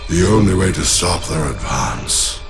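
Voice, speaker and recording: A man's voice: sits low, animated, a little slow, vocal fry, loud music behind the voice, full character voice. A man playing a grave ancient alien leader in a video game, solemn and formal in delivery. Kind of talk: dramatic video game acting. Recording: loud cinematic score behind.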